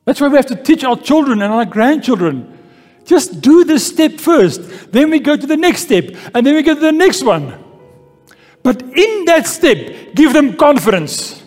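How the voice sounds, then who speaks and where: Very high-voiced slow preaching man. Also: very animated, a little mellow. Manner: call-and-response preaching, repeats key phrases. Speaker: pastor. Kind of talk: sermon with scripture reading. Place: church hall. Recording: long church-hall reverb with faint music.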